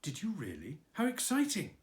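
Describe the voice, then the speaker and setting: Man playing an eager little boy, storybook, high, excitable. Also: unhurried. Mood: excited. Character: eager kind young boy. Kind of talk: storybook reading.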